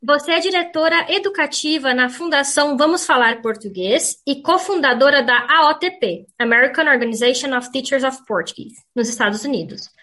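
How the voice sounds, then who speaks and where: A woman's voice: sits high, fairly quick, hardly any pauses, bright, forceful tone. A woman interviewer reading a formal introduction and question to her guest. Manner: formal, reads the guest's titles.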